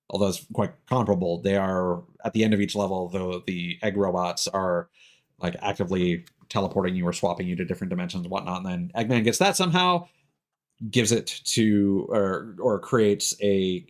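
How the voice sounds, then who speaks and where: Man rambling through casual game commentary. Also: fairly low pitch. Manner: rambling, hesitant, halting delivery; casual swearing. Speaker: game streamer, host. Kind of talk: casual let's-play commentary. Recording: game music behind the voice. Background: video game music.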